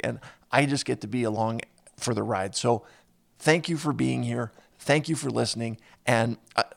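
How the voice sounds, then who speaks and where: A man's voice: medium pitch, creaky. A man hosting an interview podcast, earnest and given to restarting his sentences. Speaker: podcast host. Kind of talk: casual interview chat.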